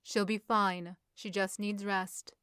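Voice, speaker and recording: Caring young romantic gentleman, read by a woman: gentle, reassuring, slow delivery, dry sound. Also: mid-range voice, flat delivery, smooth, no echo. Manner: soft, reassuring.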